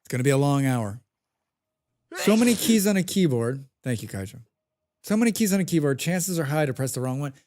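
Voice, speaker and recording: A man's voice: fairly high pitch, big pitch swings, a little fast, darkish tone. A man with a head cold chatting casually while live-streaming a game, humming to himself and answering his chat.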